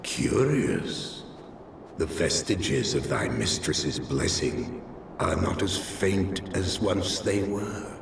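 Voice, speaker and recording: Game creature: ancient, cryptic male being, very slow, deep, archaic, cold and watchful. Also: vocal fry, roomy sound, far from the mic, background noise, heavily acted. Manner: archaic, slow, measured speech. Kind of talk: video game voice acting.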